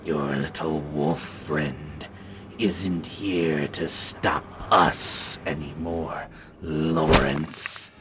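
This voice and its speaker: A man's voice: very deep voice, steady tone, extremely slow, background noise, full character voice. A man playing a menacing, taunting villain with false politeness in an urban fantasy audio drama.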